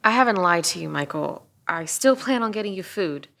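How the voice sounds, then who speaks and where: A woman playing an earnest, empathetic police detective at a drama table read, measured and sometimes hesitant. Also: fairly low pitch, lively intonation, gravelly, fairly bright tone. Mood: calm, probing.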